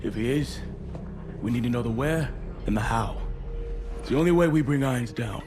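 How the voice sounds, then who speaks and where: A man's voice: medium pitch, normal speed, natural voice. A man playing a grave, decisive military commander in a war game, terse and authoritative. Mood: grave, questioning. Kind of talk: dramatic game cutscene dialogue. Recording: cinematic music behind.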